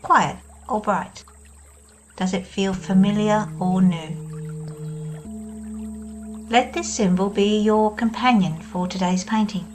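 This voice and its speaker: Slow, soothing woman guiding meditation. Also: medium pitch, music in the background. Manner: soft, slow and soothing, with long pauses. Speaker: meditation guide. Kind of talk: guided meditation.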